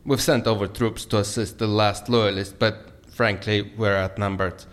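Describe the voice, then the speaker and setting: An actor playing a deadpan, businesslike Kremlin official in an audio drama, speaking in a matter-of-fact way. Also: male voice, fairly low pitch, normal speed, close to an everyday voice. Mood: grave, businesslike.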